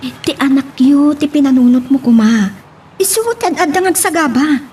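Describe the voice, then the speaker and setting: A woman's voice: sits high, expressive, normal speed, dark tone. A woman with an Ilocano accent acting an earnest, reproachful sister in a love drama. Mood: reproachful, urgent.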